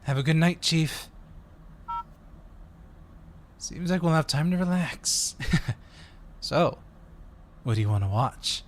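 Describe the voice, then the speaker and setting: A man's voice: a little high, animated, unhurried, slight background noise. A man playing an eager, friendly undercover agent in an audio roleplay, talking in a polite, chatty way. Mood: relaxed.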